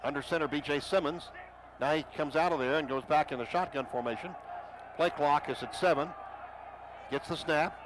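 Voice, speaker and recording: Brief: male radio play-by-play caller, higher voice, noisy broadcast. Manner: rapid excited calls rising to shouts on big plays. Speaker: play-by-play announcer.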